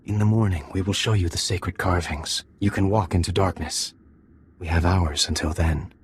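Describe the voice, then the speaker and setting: An actor playing a dutiful young alien bridegroom in a video game, speaking formally and with restraint. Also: male voice, low voice, steady tone, normal speed, slight background noise. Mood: solemn, tender.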